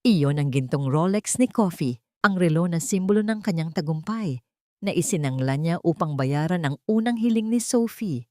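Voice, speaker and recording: A woman's voice: on the low side, lively intonation, normal speed, mellow, no room sound, studio quality. A woman with a Filipino accent narrating a scripted dramatic story. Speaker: narrator of audio love stories.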